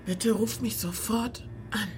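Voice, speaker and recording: A woman's voice: medium pitch, steady tone, slow, darkish tone, faint music behind, lightly acted. A woman playing a practical, worried young waitress in a radio drama, speaking in a natural, direct way. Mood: urgent, worried. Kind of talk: German radio drama acting.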